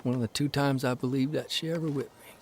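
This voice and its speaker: A man's voice: medium pitch, fairly even intonation, fast, darkish tone, slight background noise, close to an everyday voice. An actor playing a grown man who tells, in a reflective, storytelling way, of his strict mother in a radio drama. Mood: wistful. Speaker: narrator and lead character.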